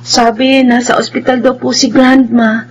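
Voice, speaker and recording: A woman's voice: a little high, little pitch movement, normal speed, dull audio, slight background noise, close to an everyday voice. A woman playing a respectful, affectionate young mother and dutiful daughter in a radio drama, polite and sweet. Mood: cheerful, polite.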